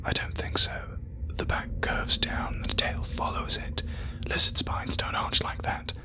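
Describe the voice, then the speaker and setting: A man playing a shaken scientist who records a tense log deep inside a cave. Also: a little slow. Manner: low hushed whispering, tense. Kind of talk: horror audio drama narration.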